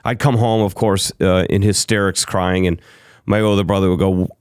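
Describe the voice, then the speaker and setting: Middle-aged male guest, East Coast–Midwest accent, lowish smooth resonant voice, rambling storyteller, dry close mic. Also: fairly even intonation, normal speed, no room sound. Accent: mixed East Coast and Midwest American. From Chicago area, USA. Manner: rambling, self-interrupting, with frequent ums and restarts. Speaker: interview guest. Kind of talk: candid storytelling conversation.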